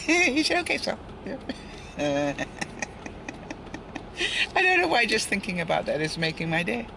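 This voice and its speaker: A woman's voice: medium pitch, big pitch swings, unhurried, gravelly, pauses often. A woman, older, talking to viewers in a casual vlog while outdoors by a street in light rain, rambling along with asides and little chuckles. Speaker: daily vlogger. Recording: noisy outdoor street with passing cars. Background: traffic and street ambience.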